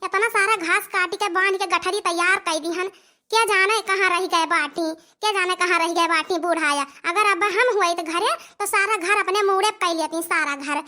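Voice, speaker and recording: A woman's voice: very high-pitched, normal speed, sharp tone. A young woman with an Awadhi accent playing an overworked, complaining village daughter-in-law in a comic cartoon, repeating her phrases for emphasis. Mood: grumbling.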